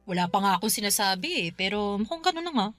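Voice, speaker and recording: An actress playing a stern but caring older sister in a radio drama, blunt and scolding with sharp retorts. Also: female voice, mid-range voice, big pitch swings, fast, no echo, soft background music. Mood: questioning. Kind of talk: Filipino radio drama acting.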